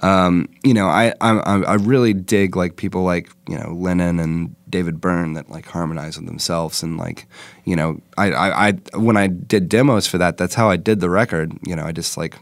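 A man's voice: sits low, fairly even intonation, normal speed, no room sound. A young man, a rock singer, chatting loosely in an interview with many fillers and restarts. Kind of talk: casual interview chat.

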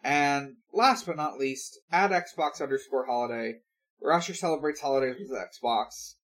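A man hosting a podcast in casual talk, with a halting, hesitant delivery. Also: medium pitch, a bit bright. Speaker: podcast host.

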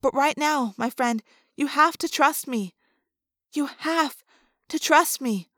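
A woman's voice: high-pitched, measured pace, sharp tone, dry recording, clean studio sound. A woman voicing a loyal, worried young girl in an audiobook, earnest and pleading as she steadies a friend. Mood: earnest, calming.

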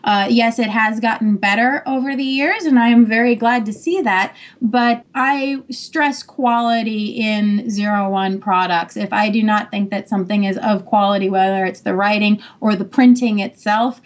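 A woman publisher answering interview questions firmly and matter-of-factly, with frequent pauses. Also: mid-range voice, steady tone. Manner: firm, matter-of-fact, many "uh" pauses. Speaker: publisher and editor-in-chief. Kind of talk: podcast interview.

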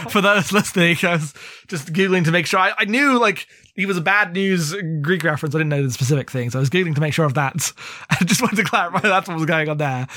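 A man co-hosting a podcast, talking through a book casually in a halting, rambling way full of asides. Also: on the high side, pushed and bright, no echo, studio quality. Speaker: podcast co-host. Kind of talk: casual book discussion with plot recap.

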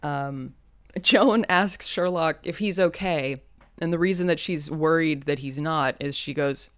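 A woman's voice: deep voice, unhurried, no room sound, studio quality. A woman co-hosting a podcast, chatting casually about a TV episode and joking as she acts out lines. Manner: laughing, jokey, acts out quotes. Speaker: podcast co-host. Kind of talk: casual chat recapping a TV episode.

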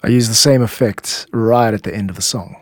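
A man hosting an explanatory music podcast, speaking in measured, instructive phrases with deliberate pauses into a close microphone. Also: fairly low pitch. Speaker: podcast host and composer explaining own music.

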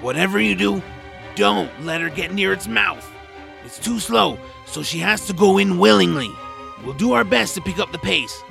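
A man playing a grumpy, nap-loving guide in an audio drama, gravelly, wry and folksy as he grumbles along. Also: high voice, lively intonation, normal speed, pushed and bright, faint music behind, exaggerated voice. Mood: grumbling, explaining.

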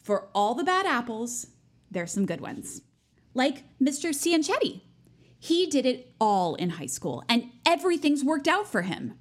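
High-pitched, pushy teenage schoolgirl. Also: big pitch swings, a little slow, exaggerated voice. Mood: smug, chatty. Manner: chirpy, pushy, self-important. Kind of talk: naturalistic audio drama acting.

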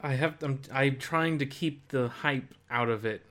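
A man co-hosting a casual video game podcast, speaking in a halting, deliberate way as he thinks aloud. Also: medium pitch, fairly bright tone. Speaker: podcast co-host.

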